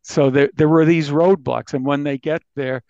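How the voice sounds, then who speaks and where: A man speaking as a journalist guest, explaining things conversationally in a halting, deliberate way. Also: on the high side, steady tone, gravelly, warm tone, dry recording, dull audio. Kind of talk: conversational interview commentary.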